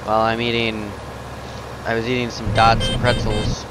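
Young man, sniffly, low-ish voice, rambling game commentary, headset mic, noisy background. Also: completely flat delivery, moderate pace. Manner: rambling, self-talking, easily surprised. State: sniffly from a runny nose. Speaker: gaming channel host. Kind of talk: casual let's-play commentary. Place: home gaming setup, indoors. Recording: noisy home recording.

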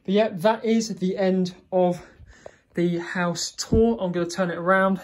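A man's voice: high voice, fairly even intonation, unhurried. A young man talks his way through a cottage tour, chatty and a little meandering, with fillers and small restarts. He films on a handheld phone as he walks indoors from room to room. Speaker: vlogger. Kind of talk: casual house tour. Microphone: phone camera held in hand.

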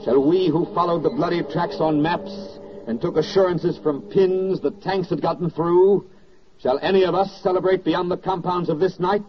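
An actor as solemn 1940s radio narrator, dull recording. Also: male voice, on the high side, noisy background, lightly acted. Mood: urgent, stirring. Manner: declamatory, dramatic pauses. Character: solemn, oratorical male narrator of wartime victory.

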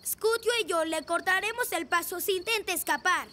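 A very high, heroic young motorcycle boy. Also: normal speed, background noise. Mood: determined. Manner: heroic, eager, commanding. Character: brave young rescue motorcycle boy, confident leader. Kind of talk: animated children's cartoon dub. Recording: music behind the voice.

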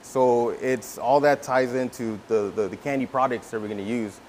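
A man in his thirties from California, a custom painter teaching a class in a relaxed way, repeating words and filling pauses, on a lapel mic indoors. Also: medium pitch, slight background noise. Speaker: guest instructor, custom painter. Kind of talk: casual workshop teaching. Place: indoor event room before a backdrop.

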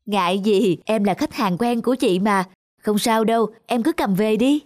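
A woman playing a kindly, easygoing shopkeeper with a friendly, reassuring manner in an animated story. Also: medium pitch, moderate pace, natural voice. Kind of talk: animated moral story dialogue.

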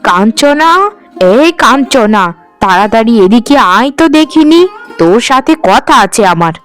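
A woman's voice: fairly high pitch, expressive, lightly acted. A woman playing a bossy but fair household mistress in a cartoon, speaking in a commanding manner. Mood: stern, demanding. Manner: brisk, commanding. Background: faint music.

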